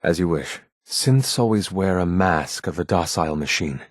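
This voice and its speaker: An actor voicing a guarded, earnest male android rebel leader in a video game, with formal, measured, grave speech and a husky voice. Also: low voice, animated, no echo, studio quality. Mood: grave, determined. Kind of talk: scripted game character dialogue.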